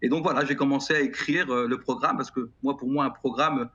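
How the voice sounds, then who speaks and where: A middle-aged man with a French accent answers interview questions earnestly, halting and repeating words as he thinks. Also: mid-range voice, steady tone, bright, forceful tone, breathy edge. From France. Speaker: guest, music educator. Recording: slightly dull broadcast sound.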